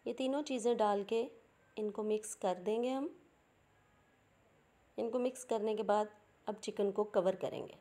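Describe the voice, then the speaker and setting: Cooking host, woman, Pakistani Urdu accent, patient and plain, warm tone. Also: medium pitch, steady tone, a little slow, long pauses. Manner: patient, explains each step plainly. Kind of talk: step-by-step cooking instructions.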